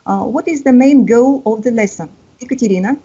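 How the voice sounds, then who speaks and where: A woman's voice: on the high side, a little fast, mellow, breathy edge. A woman, teaching an online English exam-prep lesson, hesitant with many fillers and encouraging. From Russia. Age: 50s. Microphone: video call. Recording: dull lo-fi call audio.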